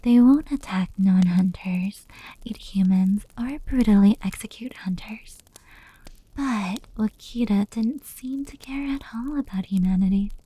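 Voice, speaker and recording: A woman voicing a gentle fox demon in whispered ASMR storytelling, soft, breathy and intimate. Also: mid-range voice, low-quality recording. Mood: hushed, eerie. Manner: slow, hushed, intimate delivery. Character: gentle, affectionate female fox demon telling spooky tales.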